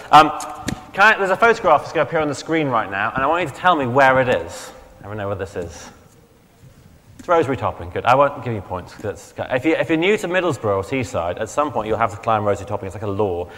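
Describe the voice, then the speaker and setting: A man's voice: medium pitch, very animated, fast, fairly bright tone, slight background noise. A man preaches in an easygoing, chatty way, catching and fixing his own slips as he talks. His voice sits in a middle register and sounds strained, as if his throat is giving out. The sound carries a long reverb from a large church hall. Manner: conversational, chatty, self-correcting. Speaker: vicar preaching. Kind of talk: sermon.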